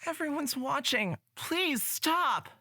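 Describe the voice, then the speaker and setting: A woman playing a shy, gentle, hesitant boy in a fantasy visual novel. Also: high-pitched, expressive, slow, dry recording, clean studio sound, full character voice. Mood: embarrassed. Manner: hesitant, meek.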